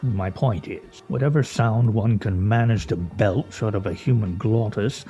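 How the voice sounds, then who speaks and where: A man's voice: on the low side, unhurried, warm tone, dull audio, soft background music, close to an everyday voice. An actor playing a dry, pedantic, wryly mocking man in a radio play. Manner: droll, pedantic.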